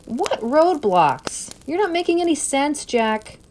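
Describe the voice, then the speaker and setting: A woman's voice: sits high, sing-song, slow, darkish tone, a little hiss or hum, voice pushed up, full character voice. A woman voicing a frightened, sick young woman with an anxious, pleading, faltering delivery in a story reading. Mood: confused, uneasy.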